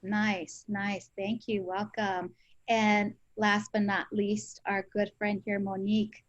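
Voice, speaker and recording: A woman's voice: medium pitch, level tone, slow, no echo, muffled recording. A woman hosting a conversational podcast, enthusiastic and encouraging, mixing in some Spanish phrases. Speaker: podcast host.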